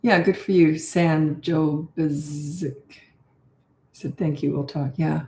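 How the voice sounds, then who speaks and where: An older woman, a parenting coach, talking blunt and chatty with a raspy voice on a live-stream Q&A. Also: low-pitched, fairly even intonation, slow, a little mellow, roomy sound.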